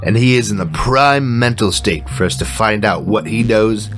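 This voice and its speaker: An actor playing a grave, paranoid conspiracy show host in a scripted comedy podcast. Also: male voice, lowish voice, moderate pace, a bit bright, soft background music, natural voice. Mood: conspiratorial.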